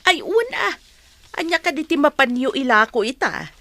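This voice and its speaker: An actress playing a gentle, protective village mother in a radio drama. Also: sits high, big pitch swings, moderate pace, dry recording, full character voice. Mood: tender, worried.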